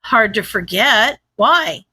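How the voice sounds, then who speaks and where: Woman acting a bright, snarky streetwise woman. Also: a little high, expressive, husky, full character voice. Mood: nonchalant. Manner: flippant, quick to snark. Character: snarky, streetwise woman informant with breezy bravado.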